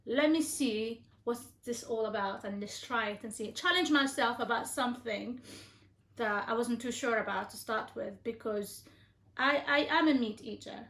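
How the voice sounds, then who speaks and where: A woman's voice: a little high, moderate pace, fairly bright tone. A woman from Algeria chatting casually about her diet, hesitant, with many fillers and restarts. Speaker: co-host being interviewed. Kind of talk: casual conversational chat. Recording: echoey room.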